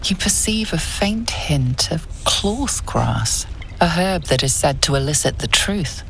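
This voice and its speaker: A woman playing an ominous, hushed storyteller, reading scripted narration for a fantasy game. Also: low-pitched, lively intonation, a little slow, vocal fry, a little hiss or hum, heavily acted. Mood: mysterious.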